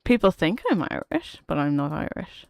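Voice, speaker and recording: A young woman with a Geordie accent, chatting quickly and laughing often while streaming a game. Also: low voice, sing-song, gravelly, no room sound, clean studio sound. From North East England. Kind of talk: casual chat while gaming.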